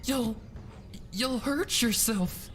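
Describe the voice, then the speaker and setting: A man voicing a gentle female cartoon pony who is tearful, desperate and deluded, pleading in stammers. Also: extremely high pitch, very slow, a little hiss or hum, pitched above the performer's own voice, heavily acted. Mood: frantic pleading.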